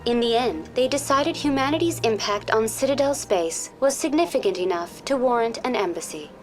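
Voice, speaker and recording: A woman's voice: on the high side, expressive, moderate pace, soft background music, close to an everyday voice. A woman voicing a polite, programmed virtual intelligence tour guide in a video game, speaking formally and precisely. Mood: polite, informative. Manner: formal, courteous, precisely worded. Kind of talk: scripted informational video game dialogue.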